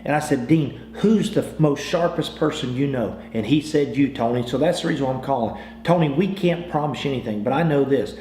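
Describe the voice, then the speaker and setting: A man's voice: medium pitch, brisk, few pauses, warm tone. An American man giving an informal sales training talk, with a folksy, persuasive salesman's manner, repeating phrases and restarting as he goes. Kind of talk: informal training talk with acted example phone calls. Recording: roomy, echoing sound with faint noise.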